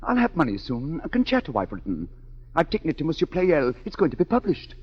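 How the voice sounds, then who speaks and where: An actor playing a desperate, humble aging violinist in a 1940s radio drama, pleading and emotional. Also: male voice, on the high side, wildly swooping pitch, fast talker, slightly rough, heavily acted. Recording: dull vintage radio broadcast, music behind.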